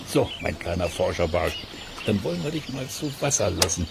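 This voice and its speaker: An actor playing a quirky, cheerful middle-aged amateur monster hunter in a children's radio play, musing to himself in a gravelly voice. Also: male voice, low voice, sing-song, normal speed, heavily acted. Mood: affectionate. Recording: noisy outdoor nature ambience.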